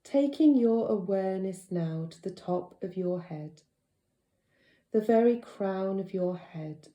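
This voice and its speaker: Woman yoga nidra teacher, super mellow soothing voice, really slow, echoey room. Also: mid-range voice, animated. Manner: soothing, gentle, unhurried with long pauses. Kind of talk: guided relaxation meditation.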